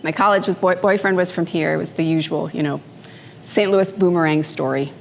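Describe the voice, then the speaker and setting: This woman in her fifties, from the Philippines, speaks in a low, unhurried voice to a live audience. She talks casually, with fillers and little asides. A faint room hum sits underneath. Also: fairly even intonation, creaky. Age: 50s. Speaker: speaker at a morning talk. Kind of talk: personal talk to a live audience. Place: event room before an audience. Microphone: handheld microphone.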